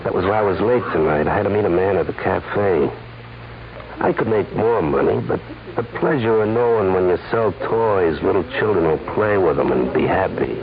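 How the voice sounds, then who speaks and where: An actor playing a cunning, smooth-talking gunman and ladies' man in a 1940s radio crime drama. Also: low voice, animated, moderate pace, crowd noise. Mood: smooth charming. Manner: habitual nervous throat-clearing, smooth menacing politeness. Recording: muffled, noisy 1940s radio recording.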